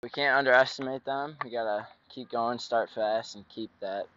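A young man, a high school lacrosse player, speaking matter-of-factly with some hesitations in a post-game interview outdoors at night. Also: steady tone, moderate pace, fairly bright tone, muffled recording, a little hiss or hum. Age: young adult. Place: outdoor sports field at night. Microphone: handheld mic.

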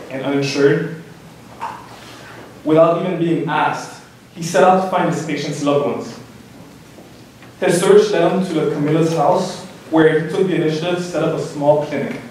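Young man, slightly high voice, earnest prepared intro, distant podium mic, big echoey room. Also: a little mellow, slight background noise. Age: young adult. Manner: earnest, halting, with fillers. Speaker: student introducing the speaker. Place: large lecture hall, indoors. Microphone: podium mic, recorded by a far camera. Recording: echoey hall from far off.

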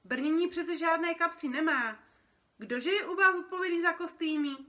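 Žena hrající podrážděného opeřeného úředníka, vysoký ostrý hlas. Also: female voice, high voice, a bit bright, pitched above the performer's own voice. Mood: indignant. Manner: exasperated, bossy, brisk phone talk. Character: busy, irate feathered office workers ordering dreams by phone. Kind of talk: storybook character reading.

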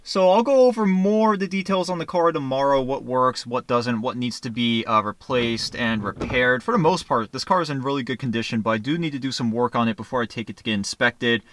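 A man's voice: mid-range voice, brisk, hardly any pauses, fairly bright tone, slight background noise. A young man vlogging casually, explaining as he shows things on camera in a parking lot and inside a car. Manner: chatty, explaining as he goes. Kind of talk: casual vlog narration.

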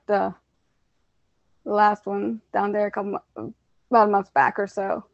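A woman in her twenties talking haltingly on a video call. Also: medium pitch, animated, gravelly, no room sound. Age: 20s. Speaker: panelist. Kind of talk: casual video call chat. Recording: dull muffled call audio.

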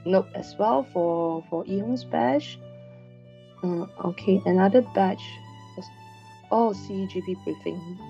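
A woman, a university lecturer giving a chatty online class briefing, her voice slightly hoarse after a sore throat, with frequent fillers and "all right" checks. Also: medium pitch, slow pace, dark tone, faint music behind. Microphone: video call webcam. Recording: dull lo-fi online class recording.

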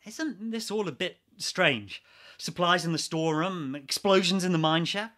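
A posh male ex-army geologist in a radio play, played by a male actor, with a forceful, slightly high voice. He is trapped underground and talks in a sardonic, needling way, always ready to argue. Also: moderate pace. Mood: urgent.

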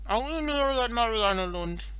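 A woman's voice: fairly high pitch, lively intonation, normal speed, a bit bright, smooth, voice pushed up. A woman voicing a cheeky, whining little boy in a storybook reading. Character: small cheeky boy, plaintive and quick-witted.

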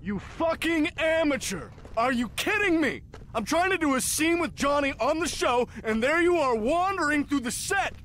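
A very high, forceful man's voice in a full tantrum. He is a raging, foul-mouthed showbiz hothead who repeats his rants, with faint noise behind him. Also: animated, normal speed. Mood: furious, ranting. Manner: ranting, repeating himself, shouting. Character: raging, foul-mouthed TV film crew diva man. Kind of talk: video game voice acting.

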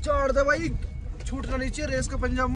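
A man's voice: very high-pitched, steady tone, fairly quick, noisy background. A young man in his twenties vlogging a road trip, chatting and joking crudely with friends from a car and a forest trail, filmed on a handheld phone. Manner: joking, crude banter, addresses viewers directly. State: out of breath on steep climb. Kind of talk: casual travel vlog chat with friends. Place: inside a car on mountain roads, then outdoors on a forest trail. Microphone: phone camera held by hand.

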